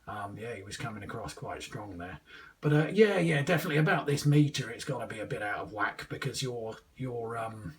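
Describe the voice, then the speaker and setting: A man's voice: medium pitch, animated, a little fast, recorded from a distance. A young man chatting casually over CB radio, hesitant, rambling and full of slang. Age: 20s. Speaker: amateur CB radio operator testing a new radio. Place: small indoor room with radio gear.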